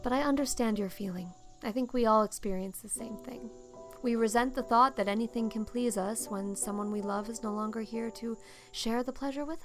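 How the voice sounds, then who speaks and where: Woman playing gentle, higher-voiced minister's wife, slightly creaky. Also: close to an everyday voice. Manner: gentle, counselling.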